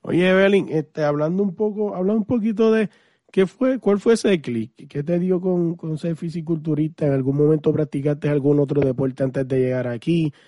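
A man's voice: a little high, moderate pace, mellow, no echo. A man with a Puerto Rican Spanish accent hosting a podcast interview in a casual, colloquial way. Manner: informal, colloquial greetings and address. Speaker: podcast host.

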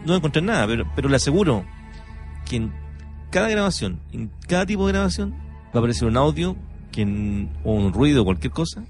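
A man's voice: medium pitch, sing-song, fairly quick, a little mellow, soft background music. A man hosting a conversational radio talk show, speaking emphatically.

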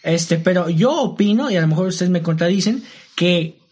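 A man's voice: high-pitched, expressive, moderate pace, darkish tone, slightly rough. A young man with a Mexican accent, chatting informally with friends and full of slang, fillers and indignant asides. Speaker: co-host. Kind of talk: casual chat.

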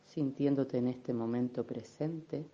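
A woman leading a guided meditation in a gentle, unhurried, soothing manner, with affectionate little diminutives. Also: very deep voice, muffled recording. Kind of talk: guided meditation, soft instruction.

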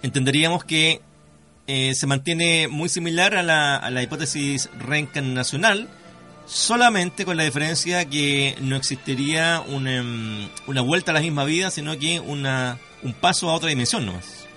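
A man's voice: on the high side, normal speed, sharp tone, faint music behind. A man with a Chilean accent hosting a conversational radio interview, speaking formally and courteously with some hesitation. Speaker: radio host.

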